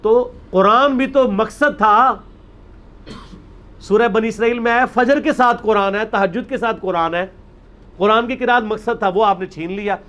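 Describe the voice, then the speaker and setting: A Pakistani man with a slightly high voice speaks as a religious lecturer. He talks off the cuff, building an argument point by point and explaining as he goes, dropping English words into his speech. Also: moderate pace, fairly bright tone. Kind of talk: informal religious lecture, extempore.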